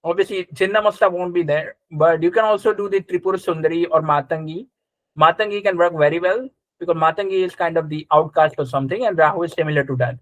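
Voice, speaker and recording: A man with a fairly high voice teaches a live class in a conversational way. He explains step by step and often checks with short questions, recorded close and dry. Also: quick pace, a bit bright, no room sound, poor audio. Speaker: astrology teacher. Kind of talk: live interactive class, explaining and quizzing students.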